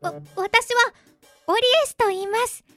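Extremely high-voiced, polite little demon wizard girl. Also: steady tone, measured pace, fairly bright tone, no echo, faint music behind. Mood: anxious, polite. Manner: polite, earnest, flustered. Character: eager, polite young demon-race girl wizard, easily flustered. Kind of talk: anime-style radio drama acting.

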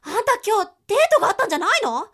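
A woman performs a teenage girl childhood friend in a radio drama, high and very animated. She is prickly on the surface and tender underneath, with a bright edge. Music plays behind her. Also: very fast, a little hiss or hum, exaggerated voice. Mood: indignant. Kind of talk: amateur radio drama acting.

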